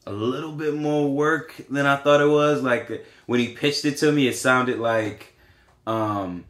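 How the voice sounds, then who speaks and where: A man's voice: medium pitch, steady tone, unhurried. A man, chatting casually on a podcast, rambling with many fillers and restarts. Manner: rambling, hesitant, lots of fillers. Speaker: podcast co-host. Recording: roomy echo.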